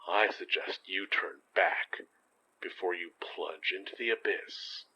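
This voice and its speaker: An actor playing a cryptic, self-serving old wizard in an audio horror drama, with a theatrical, condescending drawl. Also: male voice, sits low, slow, bright, forceful tone, a little hiss or hum, full character voice, low-quality recording. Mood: scornful, ominous. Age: older.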